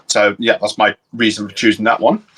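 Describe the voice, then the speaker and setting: A man's voice: lowish voice, fairly even intonation, moderate pace, fairly bright tone, husky. A man with a Cornish accent, co-hosting a casual chat over a video call, rambling with many fillers and restarts. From Cornwall, England. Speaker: co-host.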